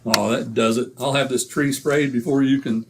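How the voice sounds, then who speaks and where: An actor playing a boastful, wisecracking husband in a 1940s-style radio comedy. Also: male voice, mid-range voice, steady tone, moderate pace, very noisy, close to an everyday voice. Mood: brash. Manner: brash, wisecracking. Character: boastful, blustering middle-aged husband.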